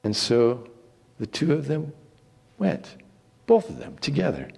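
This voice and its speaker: A man giving a theatrical, deliberate dramatic reading of scripture, swelling and then hushing. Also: mid-range voice, sing-song, gravelly, breathy edge. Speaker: scripture reader. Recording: long hall reverb.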